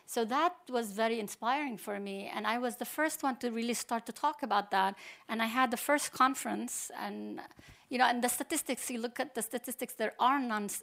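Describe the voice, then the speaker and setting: A woman's voice: a little high, moderate pace, creaky, fairly bright tone. A middle-aged woman from Palestine, a computer science professor, speaking as a panel guest in a hesitant, unscripted way with many restarts.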